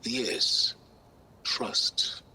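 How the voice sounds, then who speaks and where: Pompous scholar, very bright forceful voice. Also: male voice, slight background noise, full character voice, poor audio. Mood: smug. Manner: pompous, condescending, deliberate phrasing. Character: arrogant, self-important, scheming older male scholar. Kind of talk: video game cutscene acting.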